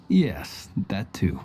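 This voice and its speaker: A man playing a polite, good-humored investigator in a video game, speaking formally and with deliberate precision. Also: on the low side, mellow, heavily acted. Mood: pleased. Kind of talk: game voice acting. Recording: game music behind.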